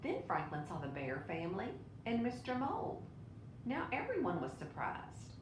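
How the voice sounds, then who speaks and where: A woman reading a children's picture book aloud in an expressive way. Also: normal speed. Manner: expressive, with brief asides to listeners. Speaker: storybook reader. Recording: roomy echo, clear low rumbling noise.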